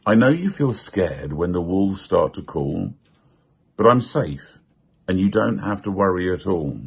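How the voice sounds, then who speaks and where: A man voicing a kind, reassuring firefighter wolf dad in a children's storybook, with a husky voice and gentle, measured manner. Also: low-pitched, animated, exaggerated voice. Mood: reassuring, proud.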